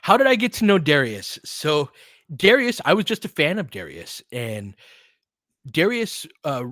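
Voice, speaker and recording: A podcast host chatting casually with viewers, telling a rambling story full of fillers and restarts. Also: male voice, fairly high pitch, huge pitch swings, measured pace, a bit bright, no room sound, studio quality. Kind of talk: casual livestream chat answering viewers.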